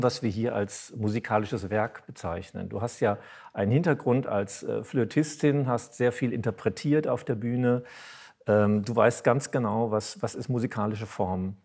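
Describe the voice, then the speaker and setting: A man's voice: mid-range voice, darkish tone. A man interviewing an artist on stage in formal but spontaneous speech, hesitating and searching for words as he asks long questions. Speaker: interviewer and concert host. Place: spoken before an audience at a concert venue.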